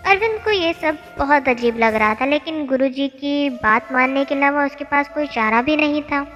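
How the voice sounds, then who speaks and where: ऊँची आवाज़ में कहानी सुनाती महिला. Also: female voice, high voice, a bit bright. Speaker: storyteller. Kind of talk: scripted narration of a devotional moral tale. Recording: long hall reverb, music bed. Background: music.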